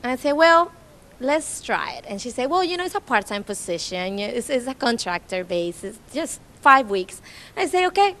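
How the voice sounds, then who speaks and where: A woman's voice: fairly high pitch, moderate pace, slight background noise. A woman in her thirties from Colombia with a Colombian Spanish accent, a native Spanish speaker, giving an informal public talk and chatting through her story. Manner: chatty, storytelling, animated retellings. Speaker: nonprofit staff speaker.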